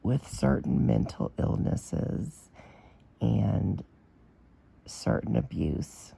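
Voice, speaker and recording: Hoarse, gravelly man with a strained voice. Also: lowish voice, very animated, extremely slow, warm tone, low-quality recording. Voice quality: damaged, strained voice. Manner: blunt and opinionated, with fillers. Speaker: vlogger. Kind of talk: casual commentary to camera.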